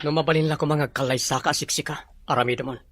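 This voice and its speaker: A man's voice: fairly high pitch, steady tone, quick pace, fairly bright tone, soft background music. A man playing a stubborn businessman's son who avoids marriage, in an Ilocano drama. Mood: irritated, dismissive. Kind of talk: Ilocano radio-style drama acting.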